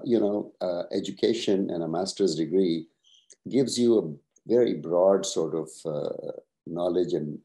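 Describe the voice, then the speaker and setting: A man in his sixties, originally from Pakistan, answering interview questions over a video call at a measured pace, with many "uh" pauses. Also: low voice, mellow. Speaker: guest, professor and retired executive.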